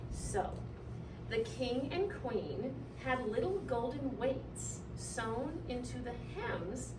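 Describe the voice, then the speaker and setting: A woman reading a children's picture book aloud in an animated, deliberate way with dramatic emphasis. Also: high-pitched. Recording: echoey room, distant mic, clear noise.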